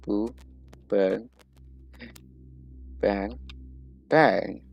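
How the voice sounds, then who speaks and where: A man's voice: on the low side, drawn-out words, gravelly. A man hosting a reaction video with casual, joking commentary and informal interjections.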